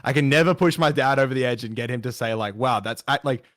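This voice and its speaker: A man's voice: medium pitch, fast talker, fairly bright tone, no room sound, studio quality. A man in his early twenties talking as a guest in a casual interview, rambling and restarting his sentences. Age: 20s. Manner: rambling, heavy use of like and restarts.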